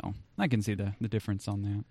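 A man's voice: lowish voice, steady tone, fast talker, darkish tone, no room sound, clean studio sound. A man hosting a casual interview podcast, speaking in a blunt, informal way with some swearing. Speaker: podcast host.

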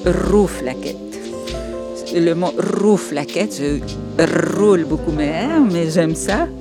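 A woman tells her own story in French. She is reflective and pauses often, and a soft bed of music runs under her voice. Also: on the low side, animated. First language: Spanish. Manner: reflective, with hesitations and laughs. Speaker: interview guest. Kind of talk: personal storytelling in a podcast interview.

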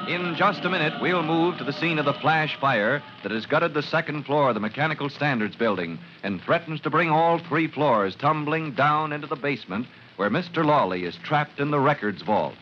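A man's voice: on the high side, animated, moderate pace, few pauses, soft background music. A man narrating a 1940s radio drama as announcer, with a grave, theatrical and emphatic delivery. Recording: muffled old radio recording.

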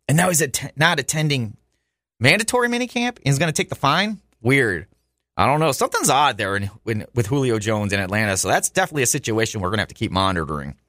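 A man's voice: medium pitch, fairly bright tone, dry recording. A man hosting a sports talk radio show, delivering commentary from a studio.

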